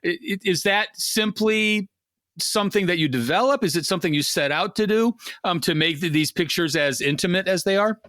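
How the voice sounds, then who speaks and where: A man's voice: sits high, fairly quick, fairly bright tone, no echo, clean studio sound. A man hosting an interview podcast, asking long thoughtful questions with frequent restarts. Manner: thoughtful, many restarts and fillers. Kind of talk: casual interview talk.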